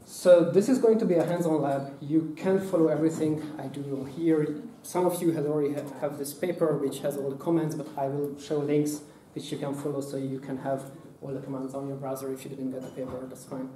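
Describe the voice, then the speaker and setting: A man walks a conference audience through a hands-on workshop. His voice is somewhat high and mellow, and his delivery stops and restarts around fillers. He is heard from some distance in an echoey room. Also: steady tone. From Hungary. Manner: halting, many fillers and restarts. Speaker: workshop presenter. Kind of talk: conference talk.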